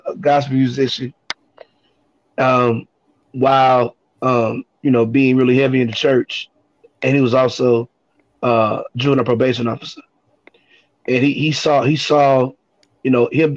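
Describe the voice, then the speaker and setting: A man tells his story on a podcast, often pausing on ums and repeating words. The sound is dull and lo-fi, with no room echo at all. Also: mid-range voice, fairly even intonation. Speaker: guest hip hop artist. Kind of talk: casual podcast conversation. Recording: dull, dry lo-fi audio.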